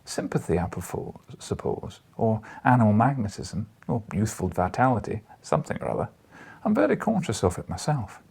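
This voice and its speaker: A man acting crabbed old Scottish news editor, husky breathy voice, swooping pitch, wry, avuncular, audiobook character. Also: big pitch swings, normal speed, darkish tone, exaggerated voice. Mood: coaxing. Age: older.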